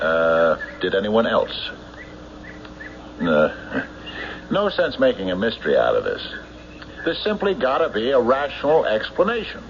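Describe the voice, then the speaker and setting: An actor playing a stolid, skeptical sheriff in an old radio drama, speaking in a formal, deliberate, official manner. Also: male voice, lowish voice, wildly swooping pitch, exaggerated voice. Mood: puzzled, searching. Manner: official, deliberate, hesitant questioning. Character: stolid, methodical male county sheriff, formal and doggedly skeptical. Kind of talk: old-time radio drama acting with police-report narration. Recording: noisy, muffled vintage radio recording.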